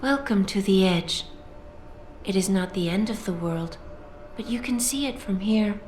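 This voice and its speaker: A woman's voice: mid-range voice, unhurried, a little mellow, natural voice. An enigmatic female artificial intelligence who reads the news in a video game, played by an actress. She is formal, solemn and composed. Her voice echoes over faint music. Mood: solemn composure.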